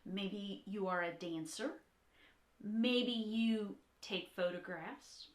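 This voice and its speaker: A woman art teacher reading aloud and talking to young children in an encouraging, expressive, direct way. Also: mid-range voice, takes it slowly. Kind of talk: children's read-aloud and talk to young kids.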